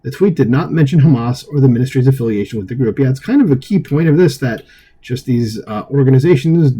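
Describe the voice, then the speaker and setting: A man with a husky voice reading an article aloud as an online commentary host, dropping in opinionated, dismissive asides. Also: medium pitch, warm tone.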